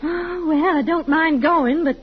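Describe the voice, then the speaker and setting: An actress playing a sensible, skeptical, patient wife and mother, practical and gently teasing, in 1940s radio comedy style. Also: high-pitched, unhurried. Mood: doubtful. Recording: muffled old-time radio recording, faint hiss.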